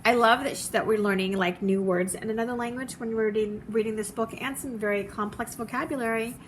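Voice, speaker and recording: Woman doing a kids book read aloud, highish voice, chatty and expressive, bit of noise behind. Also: brisk.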